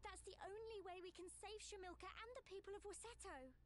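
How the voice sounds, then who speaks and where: A woman's voice: extremely high pitch, a little fast, slight background noise. A woman voicing a solemn, urgent young woman in a fantasy video game, earnest and dramatic. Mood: grave.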